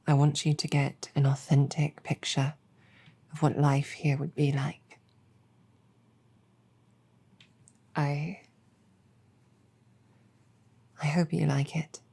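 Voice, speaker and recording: A woman playing a gentle, earnest, world-weary demon general in an ASMR roleplay, speaking softly and reassuringly with measured hesitation. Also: deep voice, flat delivery, gravelly, husky, heavily acted. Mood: warm, inviting. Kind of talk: improvised ASMR roleplay storytelling.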